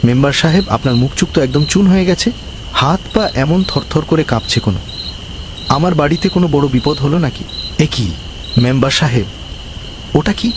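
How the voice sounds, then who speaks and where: A man's voice: fairly high pitch, fairly quick, long pauses, background noise, natural voice. A man voicing a simple, honest poor villager in a cartoon, speaking politely and earnestly. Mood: puzzled, concerned. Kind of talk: earnest cartoon story acting.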